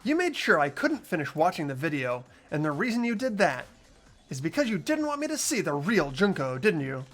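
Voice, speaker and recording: Man acting as earnest teenage boy, high-pitched, lively intonation, insistent, accusatory, video game voice. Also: brisk, faint music behind, full character voice. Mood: accusing.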